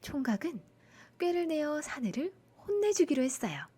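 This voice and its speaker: High, animated female storyteller. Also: normal speed. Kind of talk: expressive children's bedtime folktale narration.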